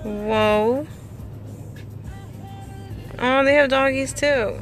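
Young female vlogger, unhurried casual chat, clear music in the background. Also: sits high. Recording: music behind, phone recording.

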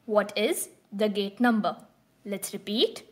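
A woman hosting her own channel, giving a scripted beginner lesson with calm, clear prompts. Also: medium pitch, unhurried. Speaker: language teacher and channel host.

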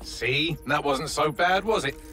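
A man's voice: a little high, measured pace, pushed and bright, soft background music. A man voicing a witty, unflappable artificial intelligence in a video game, with a British accent and a sardonic manner. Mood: smug. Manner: sardonic, dry wit. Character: witty, sarcastic male AI assistant, unflappable. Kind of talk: video game cutscene acting.

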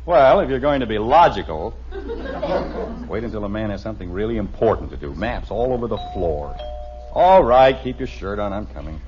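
A man's voice: on the low side, animated, brisk, close to an everyday voice. A man playing a grumbling middle-aged American family father in a radio sitcom, dry, exasperated and wisecracking. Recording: dull, noisy vintage radio recording.

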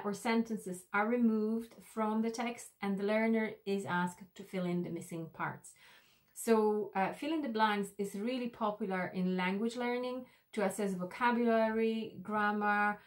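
A woman in her thirties narrating an instructional tutorial as an e-learning instructor, explaining things directly to the viewer. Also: mid-range voice.

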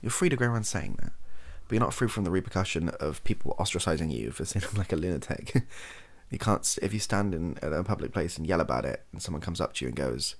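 A young man with a British accent chats softly in a very low voice full of creak. His delivery is rambling and improvised, swinging freely up and down in pitch as he makes dry, offhand asides. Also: very animated, fast. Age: young adult. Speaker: host of a comedy ASMR channel. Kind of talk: improvised soft-spoken monologue, casual chat. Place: small cluttered room indoors.

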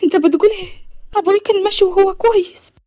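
A woman's voice: sits high, fairly even intonation, rapid-fire, warm tone, dry recording, close to an everyday voice. A woman voicing an emotional young village daughter with an Upper Egyptian accent, urgent and pleading, in an audio story. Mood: alarmed.

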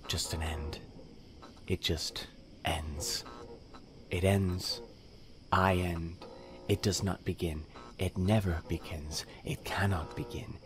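Slow, whispery deranged killer. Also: male voice, slight background noise. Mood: brooding. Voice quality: low, breathy, often dropping to whisper. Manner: slow, brooding monologue in clipped phrases. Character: deranged male serial killer, nihilistic, menacing, confessional. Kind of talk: audio drama villain monologue.

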